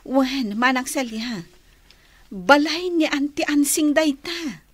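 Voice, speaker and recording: Young heiress: polite, high-pitched, northern Philippine accent, radio drama. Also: female voice, slow pace, no echo. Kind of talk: Ilocano radio drama acting.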